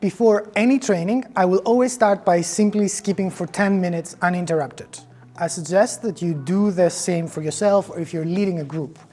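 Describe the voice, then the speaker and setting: A man's voice: sits high, moderate pace, warm tone, soft background music. A man with a Greek accent, a choreographer giving scripted tutorial instruction. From Greece.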